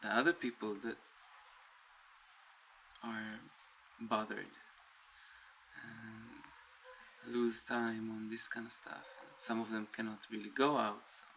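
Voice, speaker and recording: A man giving a casual, understated personal account, halting as he thinks aloud. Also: on the low side, level tone, fairly bright tone. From Israel. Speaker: documentary interviewee. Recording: noisy ambience, distant microphone.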